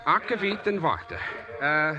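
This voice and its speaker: High Scandinavian sailor voice. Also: male voice, very animated, normal speed, muffled recording, music in the background. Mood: folksy, naive. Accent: put-on Scandinavian. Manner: hesitant, simple, folksy. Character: bumbling Scandinavian-American sailor looking for his cousin. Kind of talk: 1940s radio detective drama acting. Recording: noisy old 1940s radio broadcast.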